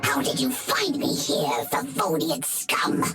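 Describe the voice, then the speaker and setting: An actor playing a captured villain in a video game, who sneers and then pleads in pain. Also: male voice, fairly high pitch, very animated, takes it slowly. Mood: defiant. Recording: game audio over loud music.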